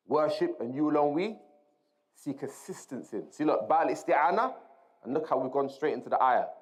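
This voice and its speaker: A man gives an Islamic lesson in a mid-pitched voice. He speaks as a teacher to students, moving between explanation and quoted Arabic terms. He repeats key phrases and checks that his listeners follow. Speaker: religious teacher.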